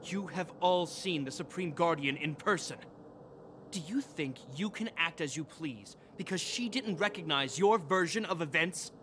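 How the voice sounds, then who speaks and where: A man's voice: a little high, expressive, moderate pace, fairly bright tone, slight background noise. A man playing a dutiful young guard captain in a video game, speaking in a formal, commanding, grave way. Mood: reproachful. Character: stern, dutiful young male guard captain, wounded but unyielding. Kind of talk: dramatic video game voice acting. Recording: game music under the voice.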